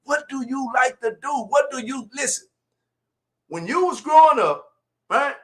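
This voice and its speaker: High-pitched, forceful motivational preacher man. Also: animated, unhurried. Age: 40s. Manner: emphatic, repetitive, swinging from low asides to shouted exhortation; colloquial, direct address to listeners. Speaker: motivational speaker. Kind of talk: fiery motivational preaching on a livestream.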